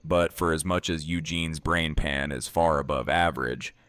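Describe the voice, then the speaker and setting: A man playing a gruff, teasing man with a wordy, folksy way of talking in an audio drama. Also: very deep voice, level tone, normal speed, a bit bright, no room sound. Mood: wry teasing. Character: gruff, smirking, beer-drinking man with wry humour. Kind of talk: fan audio drama acting.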